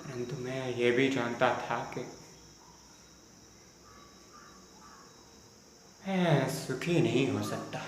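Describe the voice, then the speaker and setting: A man playing a melancholy, regretful poet in a stage monologue, speaking solemnly and deliberately in formal literary diction with dramatic pauses. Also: medium pitch, close to an everyday voice. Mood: sorrowful. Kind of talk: Hindi stage drama monologue, audition performance. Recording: very echoey room, faint insect hiss.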